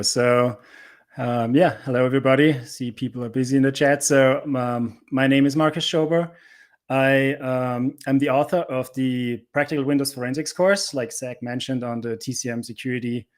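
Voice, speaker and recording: Middle-aged man, mid-pitched. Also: fairly even intonation, normal speed. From Austria. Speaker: interview guest, cybersecurity instructor. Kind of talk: casual conversational interview answers.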